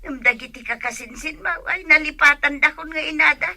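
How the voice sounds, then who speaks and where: An actress playing a sentimental older aunt in a radio drama, with a husky voice and a Northern Philippine Ilocano accent. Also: female voice, high-pitched, brisk, pushed and bright, full character voice. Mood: touched, plaintive.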